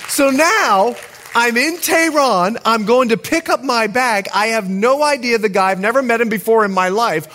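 A man from the Bahamas with a Bahamian accent, preaching and telling a story in a dramatic, animated way. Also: extremely high pitch, normal speed, a faint crowd. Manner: animated, dramatic, acts out scenes. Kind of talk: sermon storytelling.

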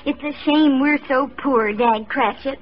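A woman's voice: high voice, measured pace. A woman playing a fretful, wide-eyed young housewife in 1940s radio comedy style. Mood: fretful, sympathetic. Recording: muffled, noisy old radio broadcast.

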